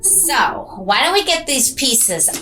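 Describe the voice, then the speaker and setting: A woman's voice: high-pitched, very animated, slow, over music. A woman craft teacher guiding children step by step in simple, encouraging phrases.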